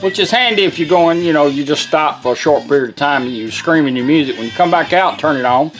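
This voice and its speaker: Give a man with a slightly high voice, talking in a folksy, plain-spoken way as he demonstrates a product. His delivery is casual and hands-on, with some music playing behind him. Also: animated, fast.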